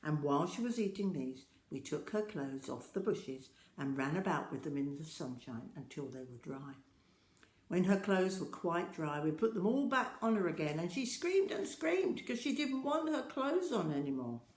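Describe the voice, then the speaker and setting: Expressive lower-voiced woman reading children's stories. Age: older. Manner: addresses young listeners directly, deliberate and expressive. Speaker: storyteller reading aloud to children. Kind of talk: children's storybook narration. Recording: roomy, echoing home-style recording.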